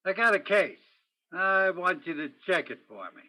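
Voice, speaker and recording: A man's voice: high voice, animated, normal speed, bright, forceful tone, exaggerated voice, poor audio. A man playing a probing, wry police detective in an old-time radio crime play, with terse, hard-boiled questioning. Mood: businesslike. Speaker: student or guest stage actor in radio play.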